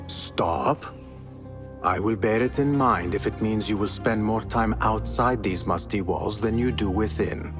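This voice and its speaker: Game role: earnest, haunted young male assassin played by a man, lowish voice, prominent score underneath. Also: a little slow, natural voice. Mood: warm, questioning. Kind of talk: dramatic video game dialogue. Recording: loud music behind.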